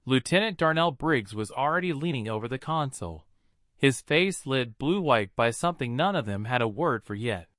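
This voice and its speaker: Animated, bright-voiced male storyteller. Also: medium pitch, no room sound, clean studio sound. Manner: dramatic, deliberate storytelling in short phrases. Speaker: story narrator. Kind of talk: scripted science fiction narration with acted dialogue.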